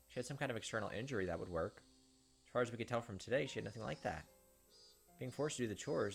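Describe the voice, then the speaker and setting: Let's play host, quick delivery, visual novel read-aloud, casual sympathetic commentary, faint music. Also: medium pitch, gravelly. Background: game music.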